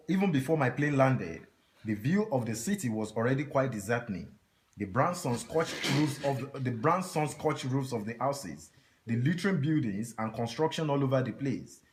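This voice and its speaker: A man reading a book passage aloud to a small group in a steady, measured way. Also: medium pitch.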